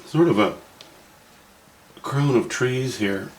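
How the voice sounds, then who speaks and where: A man's voice: medium pitch, slow, roomy sound, poor audio. A man with a Canadian accent, thinking aloud in a wry, musing way as he walks through a painting demo. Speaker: painting instructor. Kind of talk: casual painting demo narration.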